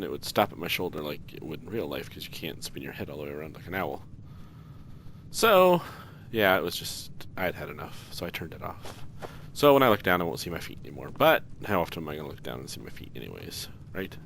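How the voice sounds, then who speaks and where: A man's voice: deep voice, sing-song, fast talker, fairly bright tone. A man giving casual, conversational commentary as he plays a video game. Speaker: video game let's player. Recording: clear background noise, game sounds.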